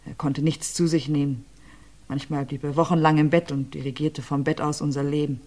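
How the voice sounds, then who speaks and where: Tired widow in a radio crime play, very low voice, halting, drops almost to a whisper. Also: female voice, little pitch movement, a little mellow. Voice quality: low, subdued, often drops to a near whisper. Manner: hesitant, halting answers. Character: abused widow questioned about her husband's death. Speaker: widow under questioning.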